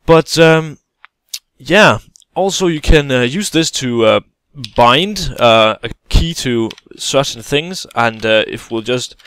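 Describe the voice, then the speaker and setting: A man's voice: mid-range voice, lively intonation, slow, fairly bright tone, clean tone, no room sound. Man, hesitant game tutorial. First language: Danish. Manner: hesitant, many fillers and restarts, casual explaining. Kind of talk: gameplay tutorial voice-over.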